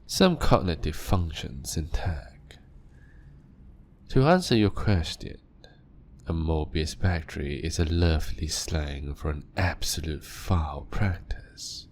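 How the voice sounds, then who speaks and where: Brief: vampire doctor, deep, unhurried, silky, toying with his patient. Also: lively intonation, a little hiss or hum, heavily acted. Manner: slow, silky, toying, with soft laughs. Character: male vampire doctor, calm, sadistic, clinical. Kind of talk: audio drama roleplay.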